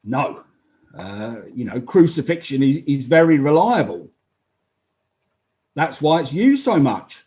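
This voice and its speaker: A man playing a sober, earnest Roman army officer giving halting, measured testimony in a dramatized inquest. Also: on the high side, sing-song, mellow. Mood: matter-of-fact. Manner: halting, measured, solemn witness delivery.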